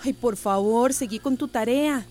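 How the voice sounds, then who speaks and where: A woman's voice: a little high, a little slow, darkish tone, natural voice. Actress as a scolding Central American mother. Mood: scolding, motherly. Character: worried mother, scolding her son, confiding. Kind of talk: radio drama acting.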